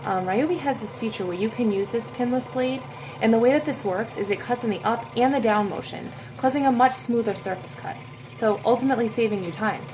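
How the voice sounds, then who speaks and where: Chatty higher-voiced woman sharing a tip. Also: fairly quick, noisy background. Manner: chatty, emphatic. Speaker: guest maker. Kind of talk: casual product tip.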